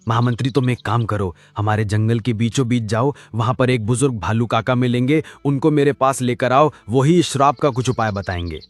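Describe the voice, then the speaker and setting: A man's voice: mid-range voice, brisk, few pauses, no echo, soft background music, lightly acted. A man voicing a proud male donkey king in a Hindi cartoon, talking dramatically. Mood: commanding. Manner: dramatic, exclaiming. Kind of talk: cartoon voice acting.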